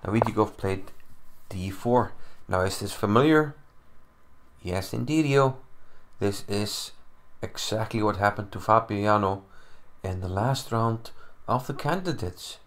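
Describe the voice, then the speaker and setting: A young man with an Icelandic accent talks through a chess game into his computer mic at home. His voice sits low, with a gravelly edge. He is chatty and loose, puzzling over moves aloud and making fun of himself. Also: measured pace. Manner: chatty, self-deprecating, thinking aloud. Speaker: chess commentator. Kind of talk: casual chess analysis. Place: home room. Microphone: computer mic, no camera.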